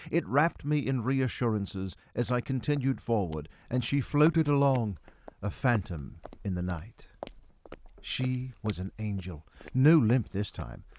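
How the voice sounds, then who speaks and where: A man delivers theatrical, first-person story narration in the role of a miserly, befuddled doctor. His literary phrasing is highly expressive, with pitch that moves widely. Faint noise sits behind the voice. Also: a little slow. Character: miserly, befuddled 45-year-old male doctor recounting his own tale.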